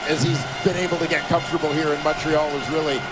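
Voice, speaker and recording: A man with a slightly high voice gives measured color commentary on a football game. He comes from a TV broadcast with loud crowd noise and music beneath him. His analysis is admiring and steady.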